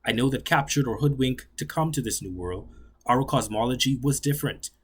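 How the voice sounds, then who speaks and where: A young man from the Bahamas, reading his own poem aloud in a measured, solemn way that slows and sinks on the closing lines. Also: medium pitch, slightly rough. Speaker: graduate student poet. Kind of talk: spoken-word poetry reading.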